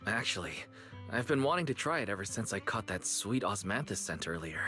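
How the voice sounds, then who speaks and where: A man's voice: lowish voice, sing-song, fairly quick, bright, forceful tone. An actor playing a kind, easygoing young man in an anime-style video game, talking in a friendly, reassuring way. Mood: warm, appreciative. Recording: background music under voice.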